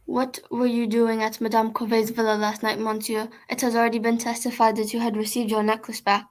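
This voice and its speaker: A formal, authoritative female magistrate in an old-style radio mystery, played by an amateur actress. Her voice is fairly high and very even as she questions witnesses. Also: brisk, lightly acted. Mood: formal, measured. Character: formal, authoritative female French prefect judging a case.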